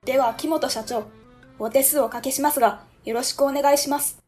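A woman's voice: high voice, steady tone, a little fast, a little mellow, slightly rough, soft background music. A woman playing a polite, apologetic young female ward-office clerk in an amateur web radio drama.

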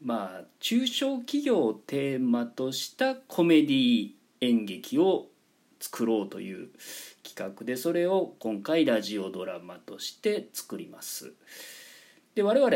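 A man's voice: medium pitch, very animated, measured pace, a little mellow. A man presenting a project announcement in a polite, explanatory manner, stressing key words. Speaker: presenter and organiser of a radio drama project.

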